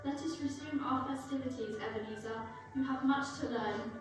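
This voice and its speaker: Young actress as teasing sweetheart, high, echoing. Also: female voice, normal speed, natural voice. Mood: playful, teasing. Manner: playful, proper. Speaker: youth stage actor. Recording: very echoey hall, distant mic, music behind.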